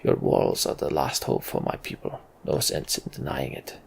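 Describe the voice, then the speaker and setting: A man's voice: extremely low pitch, moderate pace, creaky, slight background noise, pitched below the performer's own voice, exaggerated voice. A man voicing a huge, sombre warrior giant who leads his people in a fantasy game. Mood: grave, sombre.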